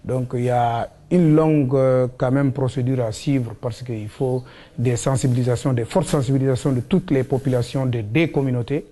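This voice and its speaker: Mellow West African man explaining carefully. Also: medium pitch, fairly even intonation, normal speed. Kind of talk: documentary interview answer.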